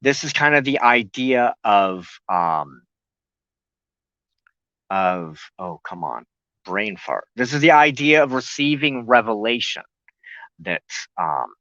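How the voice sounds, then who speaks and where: A man hosting a spiritual talk show, explaining loosely and haltingly, often pausing mid-sentence to search for words. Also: medium pitch, sing-song, sharp tone, no echo, muffled recording.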